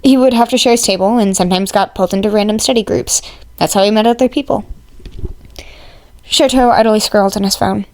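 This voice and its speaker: Woman reading an audiobook story, medium pitch, super dry recording. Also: brisk, a little mellow, dry recording. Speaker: audiobook narrator. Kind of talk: scripted story narration.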